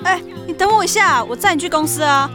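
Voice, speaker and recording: A woman's voice: extremely high pitch, lively intonation, fast talker. A woman playing an affectionate young office worker and doting pet owner in an audio drama. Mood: playful, loving. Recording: music under the voice.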